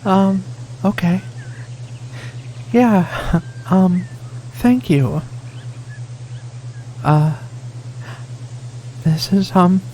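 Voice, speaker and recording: A soft-spoken alien cat woman, acted by a man, speaks very slowly in a tender ASMR roleplay. She is nervous and affectionate, stammering gently in a slightly high voice over light ambient noise. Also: low-quality recording. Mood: flustered, overjoyed.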